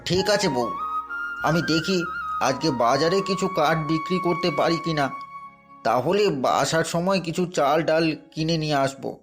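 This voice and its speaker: Man acting a high-pitched poor village woodcutter. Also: unhurried, music in the background, natural voice. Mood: agreeable. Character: poor, hardworking village woodcutter, a weary husband. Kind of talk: Bengali fairy tale cartoon dialogue.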